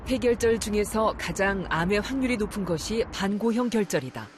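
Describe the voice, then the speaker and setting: A narrator reading a scripted medical documentary in a measured, formal way. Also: medium pitch, lively intonation, a little hiss or hum. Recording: music behind the voice. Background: background music.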